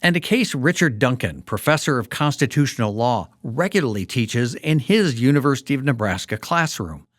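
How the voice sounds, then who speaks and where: A man hosting a history podcast, narrating in a clear storytelling manner. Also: medium pitch, animated, moderate pace, few pauses, no echo, clean studio sound. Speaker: podcast host. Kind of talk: scripted documentary narration.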